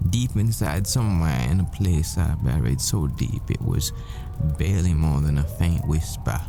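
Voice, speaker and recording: A man playing a tender, desperate boyfriend, a secretive professor, in an intimate, halting, wistful audio roleplay monologue. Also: extremely low pitch, lively intonation, gravelly, heavily acted. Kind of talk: intimate ASMR-style dramatic roleplay monologue. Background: music.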